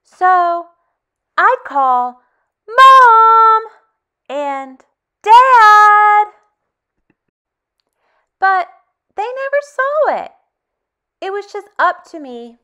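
A woman leads a children's storytime in a high, bright voice. Her pitch swings freely as she reads, with expressive, carefully spaced phrasing aimed at very young listeners. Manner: animated, expressive, deliberate phrasing with dramatic hushes. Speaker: storytime host. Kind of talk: children's read-aloud, addressing young children.

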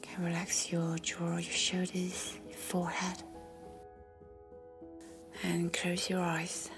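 A woman leading a guided meditation in a soft whisper, slow and calm. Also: fairly low pitch, creaky. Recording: faint music behind.

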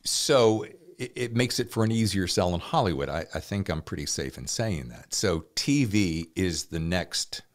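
A man's voice: low-pitched, lively intonation, normal speed, few pauses. A man in his sixties hosting a podcast, interviewing guests in a casual, conversational way. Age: 60s.

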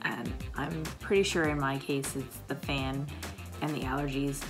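Low raspy-voiced woman chatting casually. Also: fairly even intonation, moderate pace, music in the background. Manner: chatty, rambling with fillers. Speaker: vlogger, paramedic. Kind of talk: casual coffee chat to camera. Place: home kitchen, indoors.

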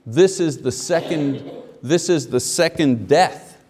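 Fifties male preacher, lively pulpit delivery with rhetorical questions, slightly high voice, long church reverb. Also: slow. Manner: animated, gesturing, asks the congregation questions. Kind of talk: sermon. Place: church sanctuary at a wooden pulpit. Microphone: lapel mic.

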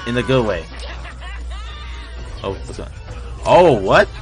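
A man's voice: medium pitch, sing-song, measured pace. A young man giving casual live reaction commentary in short exclamations and muttered remarks, recorded in a room at home. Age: young adult. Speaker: reaction video host. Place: small furnished room indoors. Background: show audio and music playing.

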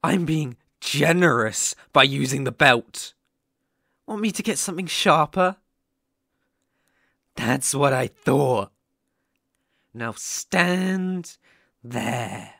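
A man's voice: mid-range voice, lively intonation, takes it slowly, gravelly, long pauses, a bit bright, dry recording, clean studio sound, exaggerated voice. A man voicing a sadistic, possessive kidnapper in an ASMR roleplay, taunting and menacing with a mock-sweet manner. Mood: coldly threatening. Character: sadistic, possessive male psycho yandere kidnapper.

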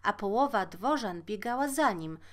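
A woman reading a fairy tale aloud as a storyteller, measured and expressive. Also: medium pitch. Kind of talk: scripted fairy-tale narration.

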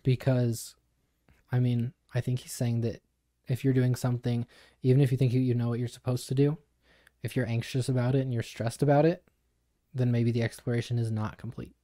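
Young guy on a home podcast, calm even voice, mellow, dry close mic. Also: mid-range voice, dry recording. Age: young adult. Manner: measured, even explaining. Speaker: podcast co-host. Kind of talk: reading a passage then casual discussion. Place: small home studio room. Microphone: studio mic on boom arm.

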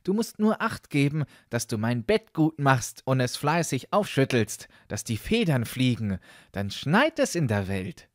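A kindly old woman from a storybook, acted by a man speaks in a lifted, lilting voice. She coaxes and reassures, giving instructions gently. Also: mid-range voice, very animated, a little slow, no echo, clean studio sound, pitched above the performer's own voice. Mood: coaxing, reassuring.

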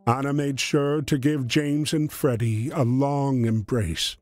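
Slow, measured male storyteller. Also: medium pitch, fairly even intonation, soft background music. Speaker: narrator. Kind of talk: scripted storytelling narration of a war video game.

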